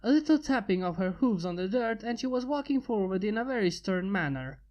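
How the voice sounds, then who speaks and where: A woman reading fan fiction aloud in a steady narration. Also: mid-range voice, brisk, lo-fi sound. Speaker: fanfic reader. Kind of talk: amateur fanfic narration.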